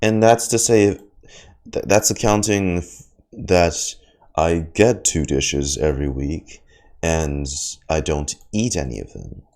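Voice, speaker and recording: A man's voice: low voice, slow, darkish tone. A man in his twenties giving casual streamer reactions to a game, rambling and slangy with some swearing. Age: 20s.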